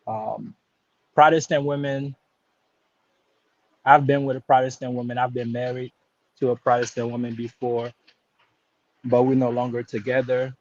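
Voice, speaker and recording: A man's voice: medium pitch, little pitch movement, moderate pace, long pauses, a little mellow, dry recording, lo-fi sound. A man in his thirties, born in Haiti and raised in Florida, calling in to a live stream and talking casually with many restarts and fillers. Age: 30s. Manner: hesitant, many restarts and fillers, laid-back slang. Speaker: caller.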